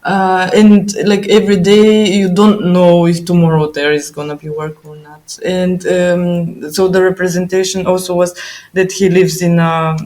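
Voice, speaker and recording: A woman's voice: on the low side, level tone, a little slow, a little mellow. Young woman from bulgaria on a podcast, lots of um and uh, thinks as she talks, laughs now and then. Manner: hesitant, many fillers and restarts, laughs easily. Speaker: podcast guest. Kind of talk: casual interview talk. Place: living in Germany, remote call.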